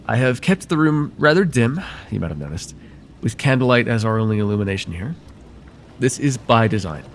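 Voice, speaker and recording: A man playing a courteous nocturnal vampire therapist in an ASMR roleplay, speaking formally and gently to the listener in a soothing, slightly hesitant way. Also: mid-range voice, animated, a little fast, a little hiss or hum, lightly acted. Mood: soothing, attentive.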